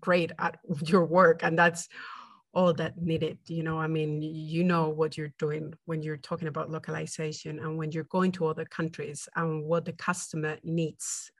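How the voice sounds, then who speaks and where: A woman hosting a podcast interview, upbeat and quick, often laughing as she talks. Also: sits low, flat delivery, no room sound, clean studio sound.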